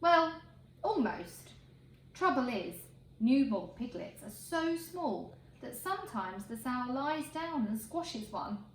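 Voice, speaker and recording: A woman reading a picture book aloud to children in an expressive, emphatic way. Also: high voice, slow pace. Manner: expressive, emphatic phrasing for young listeners. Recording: roomy echo, faint background noise.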